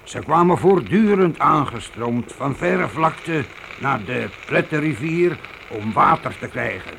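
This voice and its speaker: A seasoned trail storyteller, reminiscing with emphasis. His voice is creaky and swings widely in pitch, with faint ambience behind it. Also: animated, measured pace, fairly bright tone, a little hiss or hum, heavily acted. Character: seasoned frontier man spinning campfire buffalo tales. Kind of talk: Dutch radio play storytelling.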